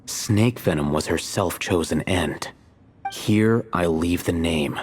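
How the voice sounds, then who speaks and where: A man voicing a calm, grave, learned scholar in a video game, speaking in a composed, formal manner. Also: low-pitched, slow, a little hiss or hum. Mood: solemn reading.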